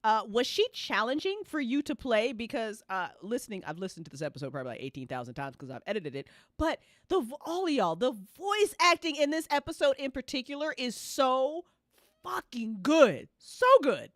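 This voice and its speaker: A woman's voice: on the high side, sing-song, moderate pace, dry recording, studio quality. A woman hosting a casual interview show, effusive, repeating her phrases and swearing casually. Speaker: host of a podcast after-show and audio drama editor. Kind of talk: casual chat, interviewing cast.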